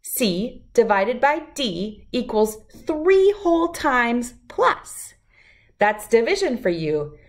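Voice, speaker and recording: A middle-aged woman reading a rhyming picture book aloud to children in an expressive, deliberate, lilting way. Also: fairly high pitch. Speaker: children's read-aloud host.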